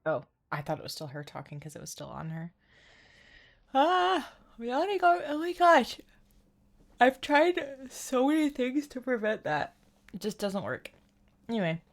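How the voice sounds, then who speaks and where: A woman game streamer chatting casually on a livestream in an animated, exclamatory way. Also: fairly high pitch, a little slow. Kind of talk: casual livestream chat, reading game dialogue aloud.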